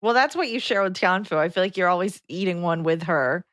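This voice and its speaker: A woman's voice: lowish voice, lively intonation, brisk, fairly bright tone, dry recording, clean studio sound. A woman in casual conversation as a podcast co-host. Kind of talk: casual chat.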